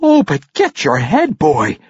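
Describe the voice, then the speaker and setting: Man voicing a scolding dwarf mother, pitched well up. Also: sing-song, measured pace, husky, dry recording, highs cut off. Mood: exasperated, indignant. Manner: exasperated, blunt, rustic speech. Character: scolding, proud warrior mother, a dwarf woman.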